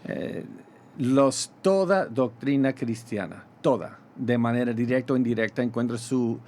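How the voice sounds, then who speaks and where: Pastor with a half-gringo, half-Mexican accent. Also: male voice, mid-range voice, a little mellow, a little hiss or hum. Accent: American with Mexican Spanish touches. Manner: halting, many fillers and restarts. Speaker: pastor, ministry guest. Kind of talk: radio interview in Spanish.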